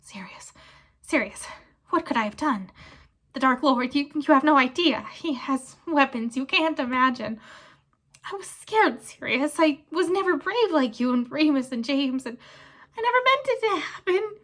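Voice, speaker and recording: A woman voicing a cowardly man groveling for his life, squeaky and whimpering, stammering as they plead in a storybook reading. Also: lively intonation, normal speed, fairly bright tone. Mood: terrified, tearful. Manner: stammering, imploring.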